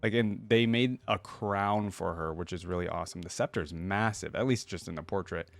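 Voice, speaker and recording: A man giving casual reaction commentary as a video host, talking informally and thinking out loud. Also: sits low, a little fast, gravelly.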